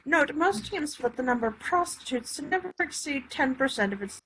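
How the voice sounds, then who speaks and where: Woman, higher-pitched, sharp bright tone, casual rulebook read-aloud, halting delivery, noisy background. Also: expressive. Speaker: reader of a tabletop game supplement. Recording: clear background noise.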